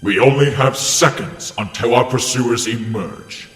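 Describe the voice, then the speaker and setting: A man's voice: medium pitch, unhurried, a bit bright, natural voice. A man voicing a commanding robot villain leader with a grandiose, formal, menacing delivery for a comic dub. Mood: angry. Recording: echoey room, music underneath.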